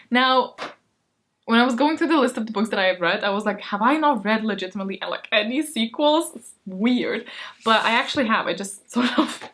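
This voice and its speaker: Casting: woman, informal book vlogger, mid-pitched voice, rambling and giggly, emphatic and expressive delivery. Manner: rambling, self-deprecating, giggly. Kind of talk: casual chatty vlog to camera.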